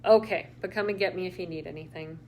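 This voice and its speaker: A woman's voice: lowish voice, fast, slight background noise, lightly acted. Woman reading as a protective, concerned sister. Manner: gentle, concerned.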